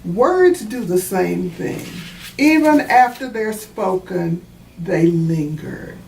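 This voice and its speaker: A woman reads and explains a storybook for children in a teacherly manner. Her pace is slow and deliberate, and the recording is roomy and a little distant, with faint noise behind her. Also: mid-range voice, expressive, gravelly, a little mellow. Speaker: author reading own book.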